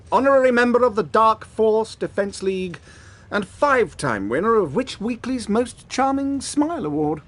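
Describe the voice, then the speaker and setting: A showy, self-congratulating wizard teacher from a video game. His voice sits high for a male and swoops widely as he preens with theatrical pomposity. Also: fairly bright tone, full character voice. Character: vain, pompous celebrity wizard teacher, a man. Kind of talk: video game character acting.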